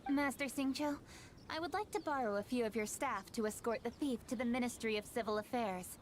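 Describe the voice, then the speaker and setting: A woman's voice: on the high side, a little fast, a little hiss or hum, lightly acted. Woman as a composed, authoritative young official. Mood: firm, commanding. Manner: formal, businesslike, firm. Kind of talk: anime-style video game voice acting. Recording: faint music behind the voice.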